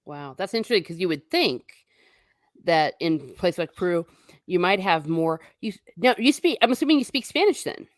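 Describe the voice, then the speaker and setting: A woman's voice: lowish voice, expressive, brisk, no room sound, clean studio sound. A woman hosting a casual conversation, a teacher who talks in a chatty way and often laughs mid-sentence. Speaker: podcast host.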